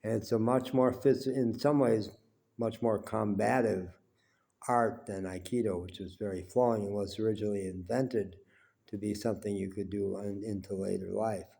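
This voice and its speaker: Older man, 70s, stuffy with a cold; lowish, mellow voice; slow, meandering home-vlog delivery. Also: steady tone, vocal fry. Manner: unhurried, rambling, with frequent pauses and fillers. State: congested with a cold. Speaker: vlogger. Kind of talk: reflective personal monologue. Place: small home indoors, quiet.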